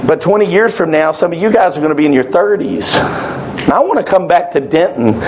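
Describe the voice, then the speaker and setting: A man in his forties with a drawl delivers a sermon in a church. His voice sits a little high for a man, and he speaks in earnest, emphatic, repeated phrases. A faint hiss runs under the recording. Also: a little mellow, low-quality recording. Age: 40s. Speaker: preacher.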